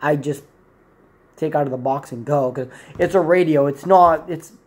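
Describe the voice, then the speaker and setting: A man's voice: on the high side, normal speed, a little mellow. A man in his twenties giving a rambling, informal product review to camera in a room at home. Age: 20s. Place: small indoor room at home.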